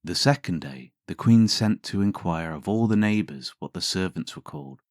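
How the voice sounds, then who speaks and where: A man's voice: low-pitched, expressive, moderate pace, darkish tone, dry recording, clean studio sound. A man narrating as a storyteller and host, theatrical and wry in his delivery. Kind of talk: dramatic fairytale narration with sardonic commentary.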